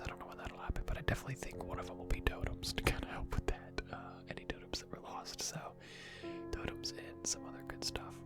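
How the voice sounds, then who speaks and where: Whispering ASMR gamer over music. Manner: hushed, unhurried, chatty. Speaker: video game streamer. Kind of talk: whispered ASMR gaming commentary, casual and rambling.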